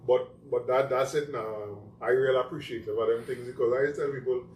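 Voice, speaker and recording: A man's voice: medium pitch, steady tone, fairly quick, darkish tone. A man with a Trinidadian accent chatting as a talk show guest, telling stories animatedly in Creole phrasing. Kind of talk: casual chat. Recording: echoey room, mic at a distance, faint noise.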